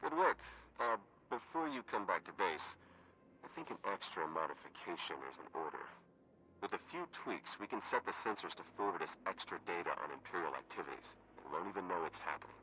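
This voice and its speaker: A man's voice: sits low, lively intonation, a little fast, noisy background. An actor playing a wry male spy and tech agent who talks in a dry, pragmatic way. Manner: dry, pragmatic, matter-of-fact.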